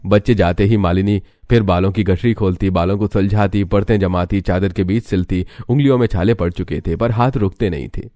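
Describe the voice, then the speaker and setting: A man's voice: sits low, steady tone, brisk, hardly any pauses, warm tone, no room sound. A man reading scripted storytelling narration for a cartoon.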